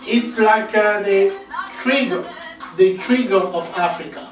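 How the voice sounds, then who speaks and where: A man's voice: sits high, unhurried, recorded from a distance. Congolese french accent guy vlogging at home, lots of uh and repeats, roomy echo, music playing behind. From Democratic Republic of Congo. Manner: rambling, repetitive, many fillers. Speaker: video host. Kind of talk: casual home vlog. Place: small home bathroom, indoors. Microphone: camera mic from across the room.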